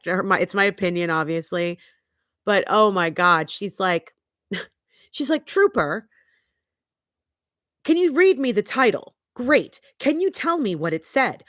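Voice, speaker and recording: A woman's voice: mid-range voice, fairly bright tone, no room sound, clean studio sound. Congested woman chatting with blunt opinions. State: congested, sniffly. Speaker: livestream host. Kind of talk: casual chat, trial recap. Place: home livestream.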